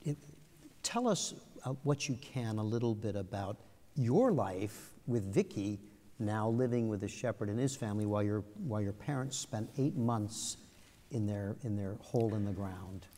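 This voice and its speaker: A male interview host poses gentle, polite questions in a low, mellow voice. He sounds set back from the microphone. Long reverberation from the hall trails behind his words. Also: lively intonation, normal speed. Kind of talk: moderating an interview. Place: museum auditorium.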